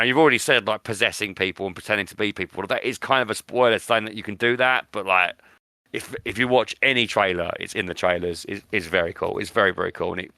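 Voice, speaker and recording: A man's voice: lowish voice, vocal fry, pushed and bright, clear, resonant tone, no room sound. A British man chatting casually as a podcast host, enthusiastic and often repeating words for emphasis. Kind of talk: casual chat.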